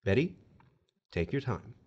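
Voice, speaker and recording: An actor playing a calm, persuasive afterlife agent in an audio drama, measured, formal and reassuring. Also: male voice, medium pitch, breathy edge, lo-fi sound. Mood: conciliatory.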